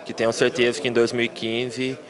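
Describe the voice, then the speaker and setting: Flat-voiced Brazilian footballer. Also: male voice, medium pitch, rough recording. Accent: Brazilian Portuguese. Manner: calm, grateful, unhurried. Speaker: football player. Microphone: handheld microphone. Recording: crowd noise behind.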